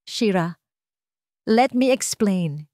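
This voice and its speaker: A woman's voice: medium pitch, lively intonation, extremely slow, warm tone, no echo, studio quality. A woman voicing a guilty, panicked husband in a drama story, remorseful and begging to explain. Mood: pleading. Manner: pleading, remorseful.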